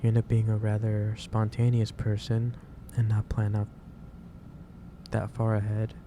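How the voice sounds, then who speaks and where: A man playing a gentle, caring office boss in an ASMR roleplay, speaking haltingly with repeated phrases over a noisy background. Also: lowish voice, completely flat delivery. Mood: gently concerned. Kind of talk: soft-paced ASMR roleplay monologue. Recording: clearly noisy background hiss or ambience.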